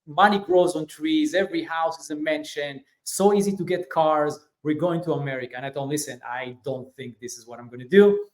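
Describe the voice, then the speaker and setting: Israeli guest, 40s, relaxed storyteller, lots of "um", roomy echo. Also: male voice, on the high side, a little fast, few pauses. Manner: relaxed, many fillers. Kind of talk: interview storytelling. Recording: echoey room.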